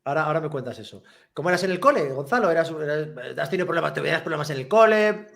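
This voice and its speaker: A man with a fairly high voice hosts a relaxed interview. He is chatty and eager, often restating his questions in several ways. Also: very fast, creaky. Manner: chatty, eager, repeats questions, lively asides. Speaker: interview host. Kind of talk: casual interview conversation.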